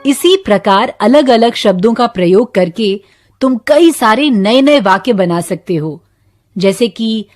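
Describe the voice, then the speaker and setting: A woman giving a scripted English lesson to Hindi-speaking children, with deliberate, spaced-out phrases meant for repeating. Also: medium pitch, dry recording, clean studio sound.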